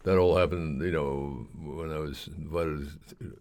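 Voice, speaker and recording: Older guy, super deep voice, chatting in an interview, kinda rambly and halting, repeats himself. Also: fairly even intonation, a little mellow. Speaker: surgeon interview guest. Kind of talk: conversational interview, reminiscing.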